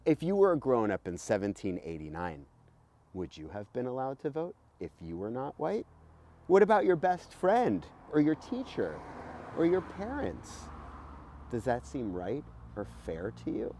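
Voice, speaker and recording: A middle-aged man, a teacher, reading a children's book aloud in an expressive, emphatic way with explanatory asides. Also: mid-range voice, moderate pace, a little hiss or hum. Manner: expressive, emphatic, with rhetorical questions. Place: outdoors beside a house.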